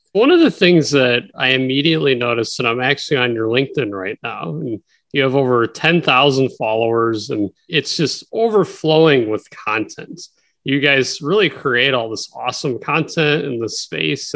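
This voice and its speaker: A man's voice: medium pitch, fairly bright tone. A man hosting a business interview podcast, greeting and questioning his guest in an upbeat, enthusiastic way. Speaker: podcast host.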